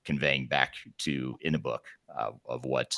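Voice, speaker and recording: A man hosting a live podcast interview, talking quickly and upbeat, with fillers and restarts. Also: rumbling low, gravelly, sharp tone, no echo, clean studio sound. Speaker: podcast host.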